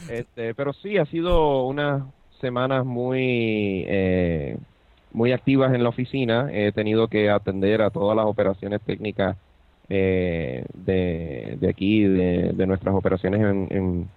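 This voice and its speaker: A man's voice: fairly low pitch, a little slow, no echo. Puerto rican guy, casual podcast chat, lots of ums, kinda muffled dry sound. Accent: Puerto Rican Spanish. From Puerto Rico. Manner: hesitant, many fillers and restarts. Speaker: podcast co-host. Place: Buenos Aires, Argentina.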